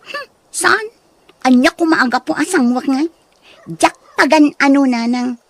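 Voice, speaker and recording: A woman's voice: high-pitched, unhurried, no room sound. A woman acting a proud, snobbish young graduate in an Ilocano radio drama, with an Ilocano accent and a haughty manner. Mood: haughty, irritated.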